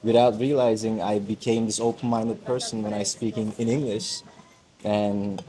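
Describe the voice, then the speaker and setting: A man's voice: on the low side, little pitch movement, normal speed, dark tone, low-quality recording. A young man from Turkey, a native Turkish speaker, musing in a casual outdoor conversation, rambling with many fillers and restarts. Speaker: host. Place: outdoors in a busy mountain village. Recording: crowd noise behind.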